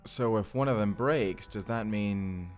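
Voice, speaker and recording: A man's voice: on the low side, measured pace, faint music behind, close to an everyday voice. A man playing a bewildered, apologetic reluctant recruit in a time-travel drama, speaking informally and hesitantly. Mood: uncertain, curious. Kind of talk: cinematic audio roleplay acting.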